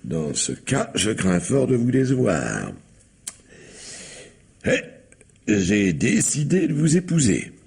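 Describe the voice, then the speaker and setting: A man's voice: mid-range voice, wildly swooping pitch, takes it slowly, gravelly, long pauses, a little mellow, breathy edge, heavily acted. An actor playing a suave, domineering blackmailing businessman in a radio mystery, mock-courteous and imperious. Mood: suave, menacing. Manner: mocking mock-courteous, imperious when irritated. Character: sinister, suave, domineering blackmailing factory owner. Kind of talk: French radio mystery drama acting.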